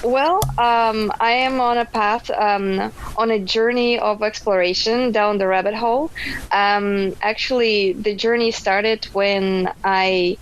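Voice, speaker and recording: A Russian-born woman answering interview questions casually, pausing often with ums and restarts. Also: medium pitch, steady tone, slight background noise, rough recording. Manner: hesitant, many ums and restarts. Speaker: podcast guest.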